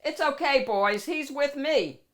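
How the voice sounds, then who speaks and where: A woman's voice: sits high, lively intonation, measured pace, pitched above the performer's own voice. A woman voicing a confident male dog who bosses a secret club, in an assured, emphatic storybook manner. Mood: commanding, reassuring.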